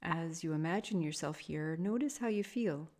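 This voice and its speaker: A woman teaching guided sleep meditation, speaking softly and soothingly in measured phrases with long pauses between them. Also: low voice. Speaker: yoga nidra meditation teacher.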